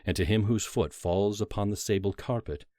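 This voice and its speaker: A man reading a classic horror story aloud as a dramatic literary audiobook, with measured, theatrical phrasing. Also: on the low side, mellow, no echo, clean studio sound.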